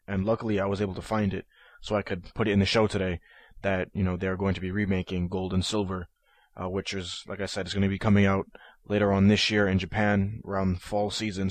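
A man's voice: lowish voice, dry recording. A man hosting a podcast episode on his own, sounding nasal from a stuffy head cold, eager and prone to ums and restarts. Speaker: podcast host. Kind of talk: solo podcast talk.

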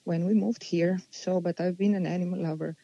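A woman, answering interview questions unhurriedly and pausing as she thinks. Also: fairly low pitch, fairly even intonation, vocal fry, warm tone, no room sound, highs cut off. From Slovenia. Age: 40s. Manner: unhurried, thoughtful, with many pauses and restarts. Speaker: guest.

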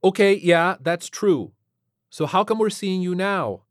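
A man voicing a brisk, irritable female lieutenant and acting captain in a story, direct and demanding. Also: sits high, no echo, studio quality, pitched above the performer's own voice. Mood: questioning, urgent.

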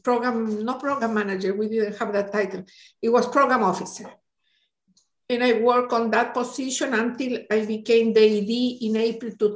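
A woman's voice: on the high side, echoey room, low-quality recording. A woman in her late sixties from Chile, a native Spanish speaker with a Chilean accent, reminiscing as an interview guest. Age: late 60s. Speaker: interview guest, retired NGO director.

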